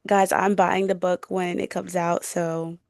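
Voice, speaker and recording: A woman's voice: fairly low pitch, little pitch movement, unhurried, creaky, dry recording, studio quality. A young woman in a casual book chat as a podcast co-host, gushing, slangy and playful.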